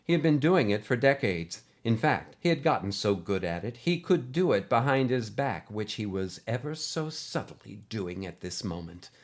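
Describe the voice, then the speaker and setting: A man's voice: fairly low pitch, sing-song, normal speed, few pauses. Man narrating a scripted story.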